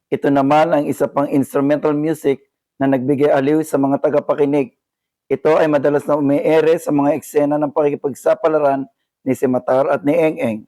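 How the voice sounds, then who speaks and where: A man with a Filipino accent reads a scripted educational narration in a formal, deliberate manner. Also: a little high, darkish tone, rough recording. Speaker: video narrator.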